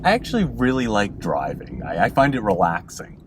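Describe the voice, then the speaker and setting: A low-pitched man acting as a deadpan, doomed working stiff in a comic mockumentary. He states absurd, bleak things in a matter-of-fact way, with background noise around him. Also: normal speed. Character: deadpan dying man who drinks seawater for work. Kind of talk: mockumentary interview and narration.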